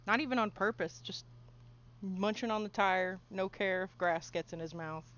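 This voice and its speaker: Woman developer streaming, casual and chatty, quick to giggle, mid pitch, slightly muffled audio. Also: fairly bright tone. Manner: chatty and giggly, talks to her dog. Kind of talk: casual livestream chat while working.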